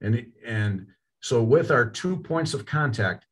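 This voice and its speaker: A man's voice: medium pitch, lively intonation, slow, fairly bright tone. Man coaching over slides, casual restarts. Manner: conversational, frequent word repeats and restarts. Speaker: college football offensive line coach. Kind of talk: clinic presentation over slides.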